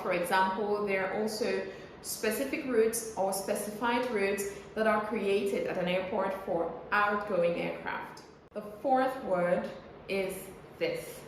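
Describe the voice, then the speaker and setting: Female pronunciation coach, medium pitch, didactic and conversational with careful enunciation, heavily reverberant room, slight background noise. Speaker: English pronunciation coach and air traffic controller. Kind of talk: explanatory pronunciation lesson, conversational. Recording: very echoey room with faint noise.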